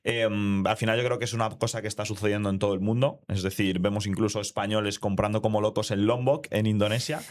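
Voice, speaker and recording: Spanish guy from madrid hosting a podcast, lowish voice, lots of ems while asking stuff. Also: fairly even intonation, fast, few pauses. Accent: Spain Spanish. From Madrid, Spain. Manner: questioning, with hesitant fillers. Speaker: podcast host. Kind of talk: conversational interview.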